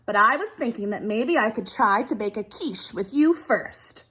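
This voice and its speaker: A woman's voice: a little high, animated, normal speed, fairly bright tone, breathy edge. A woman voicing a determined, innocent-sounding young girl who cooks, in a children's storybook, firm and matter-of-fact. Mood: encouraging.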